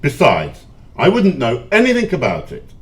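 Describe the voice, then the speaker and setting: A man's voice: mid-range voice, wildly swooping pitch, normal speed. A man acting a miserly, grumpy old businessman in an audio play, curt, scornful and dismissive.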